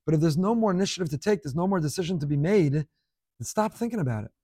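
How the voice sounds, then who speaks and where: A man's voice: a little high, expressive, fast talker, mellow, breathy edge, no room sound. Earnest man teaching, close and dry. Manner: earnest, conversational teaching with emphatic repetition. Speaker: rabbi giving a class. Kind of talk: spoken Torah class to listeners.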